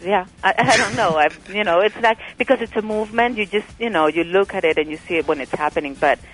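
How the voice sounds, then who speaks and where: A woman from Venezuela with a Venezuelan Spanish accent, a Spanish-speaking dubbing actress calling into a radio show, hesitant and halting as she searches for words. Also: medium pitch, fairly bright tone, faint music behind, poor audio. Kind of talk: phone-in chat.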